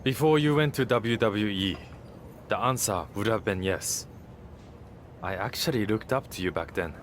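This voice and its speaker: A confident masked male luchador played by an actor in a wrestling game's story scene. He speaks bluntly and assuredly in a fairly low voice, with faint ambient noise behind him. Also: normal speed, natural voice. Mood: resolute. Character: proud, confident masked luchador, blunt social-media star. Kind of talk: scripted video game cutscene acting.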